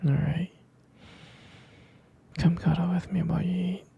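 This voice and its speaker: A man playing an affectionate, doting boyfriend in an intimate ASMR roleplay, speaking in a soft, gravelly whisper with tender hesitations. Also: flat delivery, dull audio, full character voice. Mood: caring. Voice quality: soft, breathy whisper with low gravelly fry. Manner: slow, tender, hesitant with pet names. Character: affectionate, doting male vampire boyfriend soothing partner to sleep.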